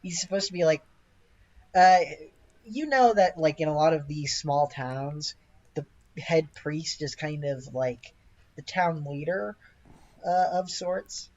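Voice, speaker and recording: A man's voice: a little high, slow, a little mellow, lo-fi sound. A man running a tabletop game as game master, narrating casually and improvising as he searches for words.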